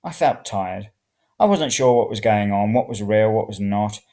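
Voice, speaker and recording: A narrator reading first-person serial fiction in an expressive, dramatic way. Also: medium pitch, moderate pace. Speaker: story reader.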